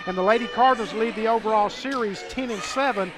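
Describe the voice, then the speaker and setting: High-voiced man calling volleyball courtside. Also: fast talker, loud music behind the voice, a loud crowd. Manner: upbeat, informative, rambling asides. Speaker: play-by-play announcer. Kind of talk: sports broadcast commentary.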